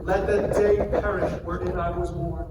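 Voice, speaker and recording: A man playing an afflicted man in anguish who curses his birth, in a slow, solemn dramatic scripture reading. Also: high voice, little pitch movement, distant mic, lightly acted. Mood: bitter lament. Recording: very echoey hall from afar, noisy.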